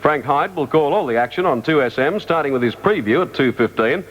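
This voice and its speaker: A man's voice: a little high, lively intonation, brisk. A man with an Australian accent reading a scripted news bulletin on 1970s radio. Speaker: radio newsreader. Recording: dull 1975 AM radio aircheck.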